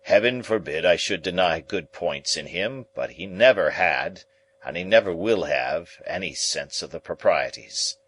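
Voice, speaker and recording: A man voicing a pompous, dry, contrary middle-aged gentleman in an audiobook, speaking in a sententious, declamatory way. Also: sits low, expressive, measured pace, husky, exaggerated voice. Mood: pompous disapproval. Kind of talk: dramatised audiobook reading.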